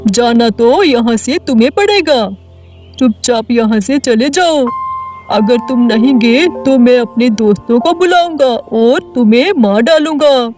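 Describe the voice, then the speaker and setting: A woman's voice: on the high side, lively intonation, a little slow, darkish tone, soft background music. A woman voicing a menacing, selfish male crow in a children's cartoon story, blunt and threatening.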